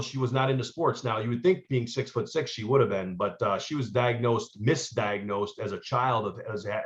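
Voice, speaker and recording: A man, a former pitcher and coach chatting casually in an interview, rambling with lots of fillers and restarts. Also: a bit bright. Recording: lo-fi muffled recording.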